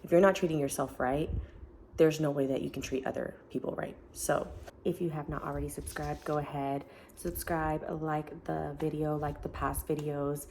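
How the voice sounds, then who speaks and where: Deep-voiced woman vlogging, slightly gravelly. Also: fairly even intonation, normal speed, darkish tone, a little hiss or hum. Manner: earnest, encouraging, chatty with many fillers. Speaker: self-help vlogger. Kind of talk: casual talk to camera. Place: family home, indoors.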